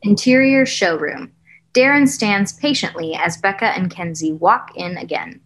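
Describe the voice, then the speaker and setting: A woman's voice: medium pitch, a little slow, fairly bright tone. A young woman reading screenplay directions as scripted narration over a video call. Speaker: stage direction reader. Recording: noisy room video call.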